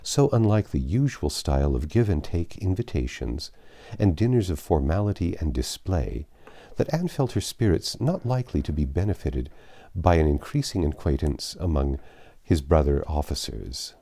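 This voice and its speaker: Deep-voiced man narrating a classic novel. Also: very animated, normal speed, mellow. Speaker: audiobook narrator. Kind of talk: scripted literary narration.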